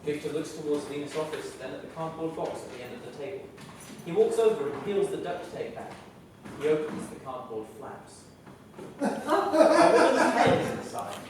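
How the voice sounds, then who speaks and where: A man's voice: a little high, very animated, normal speed, background noise. A man reading stage directions as scripted narration at a staged reading. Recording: very echoey room, far mic, loud music.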